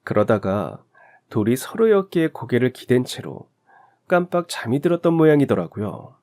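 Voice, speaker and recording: A man with a mid-pitched voice narrating a heartfelt story in the first person. His telling is gentle and reflective, paced like a scripted reading. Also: sing-song, moderate pace.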